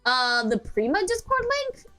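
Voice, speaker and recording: A woman's voice: very high voice, very animated, measured pace, bright, forceful tone, soft background music. A young American woman streaming, chatting casually and sleepily, rambling with many fillers and restarts. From United States.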